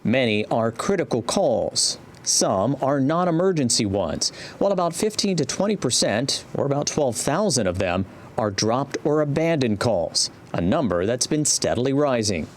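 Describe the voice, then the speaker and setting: A male news reporter with an American accent delivers scripted narration. His voice sits at a middle pitch, and a little ambient noise runs underneath. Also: very animated, normal speed.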